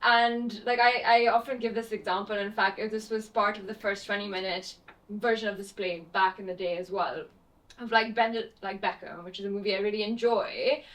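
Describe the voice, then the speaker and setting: A young woman from Pakistan, a playwright, answering interview questions in a thoughtful, halting way with many fillers. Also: mid-range voice, steady tone, fairly bright tone, poor audio. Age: young adult. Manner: hesitant, many fillers and restarts.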